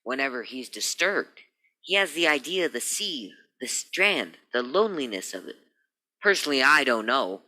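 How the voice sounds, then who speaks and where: Stiff, proper male attorney for radio drama, acted by a man: very sharp bright tone, guarded and fussy. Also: mid-range voice, big pitch swings, moderate pace, low-quality recording. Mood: evasive, haughty. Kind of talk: old-time radio crime drama acting.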